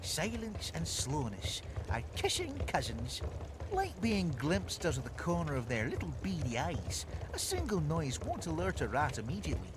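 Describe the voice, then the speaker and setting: Give the game a boastful, merry woodland creature, a clever inventor coaching young scouts. The voice sits fairly high and swings dramatically through flowery, folksy, playful phrases. Clear ambient noise runs behind it. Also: male voice, wildly swooping pitch, normal speed. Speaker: tutorial mentor in a video game. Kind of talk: theatrical storybook game instruction.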